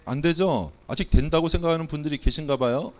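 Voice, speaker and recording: A man's voice: on the high side, quick pace. A Korean man, a pastor, preaching a sermon with a weak voice from a cold, playfully quizzing the congregation and running a mock auction. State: has a cold, voice not coming out well. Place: church sanctuary.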